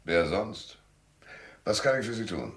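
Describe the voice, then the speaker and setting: A man's voice: fairly low pitch, sing-song, a little slow, a bit bright, roomy sound, heavily acted. An actor playing a sardonic, self-assured private detective in a German radio crime drama, narrating his case and talking in a dry, mocking way. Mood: cool, threatening.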